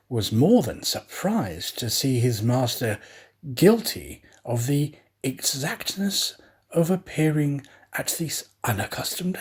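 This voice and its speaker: Slow, expressive male storyteller, slightly husky. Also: mid-range voice. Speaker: storyteller, professional actor. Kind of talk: expressive scripted storybook narration.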